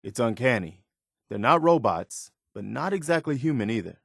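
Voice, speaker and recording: An actor playing an earnest, idealistic militia soldier in a post-apocalyptic video game, speaking in a sincere, plain-spoken, measured way. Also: male voice, medium pitch, big pitch swings, vocal fry, fairly bright tone, no room sound, studio quality, heavily acted. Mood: bitter, frustrated. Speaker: video game companion character. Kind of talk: video game voice acting, naturalistic dialogue.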